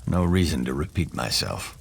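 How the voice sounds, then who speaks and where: A middle-aged man playing a proud, weary nobleman and father in a video game, speaking in a formal, measured, theatrical way. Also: extremely low pitch, big pitch swings, vocal fry, slightly rough, full character voice. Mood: stern, disappointed. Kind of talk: video game character acting.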